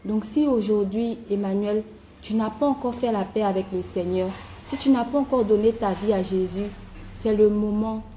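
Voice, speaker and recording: Woman w a mellow mid voice doing earnest phone evangelism, encouraging, talks right at you, bit of background noise. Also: mid-range voice, moderate pace, rough recording. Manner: earnest, encouraging, addresses listener directly. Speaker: evangelist calling strangers by phone.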